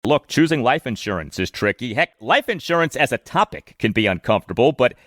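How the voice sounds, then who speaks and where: A man hosting a sports podcast, reading scripted segments and ads in an emphatic, deliberate announcer delivery. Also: mid-range voice, big pitch swings, fairly bright tone, no room sound, studio quality. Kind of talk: scripted narration and ad reads.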